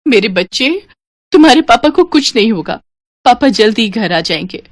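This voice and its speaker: A woman's voice: fairly high pitch, big pitch swings, moderate pace, no echo, pitched above the performer's own voice. Woman playing devoted mother, earnest, determined, devout, dry storybook recording. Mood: reassuring. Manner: earnest, reassuring.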